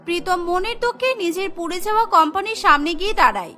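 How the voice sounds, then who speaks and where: Very high, bright female story narrator. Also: animated, fast, soft background music. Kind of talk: scripted cartoon story narration.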